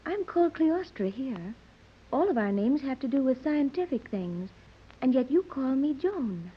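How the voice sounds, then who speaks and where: A woman's voice: sits high, very animated, unhurried, slightly rough, dull audio, heavily acted. A woman playing an earnest, precocious fifteen-year-old girl who speaks in formal, bookish phrases, in 1930s radio serial style. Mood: wistful, tender. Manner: formal, bookish, precise wording.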